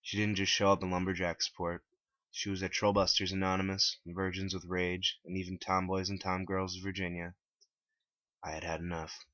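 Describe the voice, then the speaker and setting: A man reading his own story aloud as a scripted dramatic narration in a deep voice. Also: flat delivery, normal speed, pushed and bright, no room sound. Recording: dull lo-fi, dry close recording.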